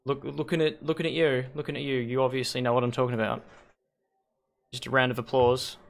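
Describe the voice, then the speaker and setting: A hoarse man hosting a league stream on a headset mic, rambling and correcting himself as he thinks aloud. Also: medium pitch, little pitch movement, a little fast. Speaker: league host and shoutcaster. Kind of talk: casual livestream commentary.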